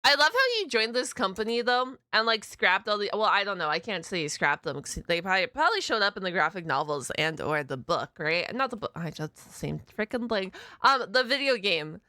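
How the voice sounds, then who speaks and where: A woman hosting a reaction video, commenting casually in an excitable, rambling, slangy way. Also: mid-range voice, fairly quick, few pauses, no echo, clean studio sound.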